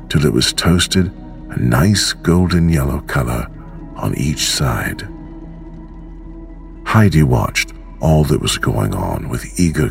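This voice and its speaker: A man with an extremely low, gravelly voice narrates a bedtime story. He reads gently and very slowly, with lively rises and falls in pitch. Music plays behind him. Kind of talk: slow scripted storybook narration for sleep.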